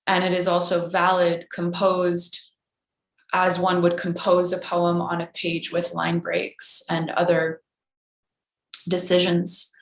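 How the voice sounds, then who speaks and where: A woman with a low, flat, gravelly voice speaks very slowly and deliberately, answering a panel question. Her voice sounds roomy and echoing. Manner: slow, deliberate, pausing to rephrase. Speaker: poet reading panelist. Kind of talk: slow reflective spoken answer in a panel Q&A.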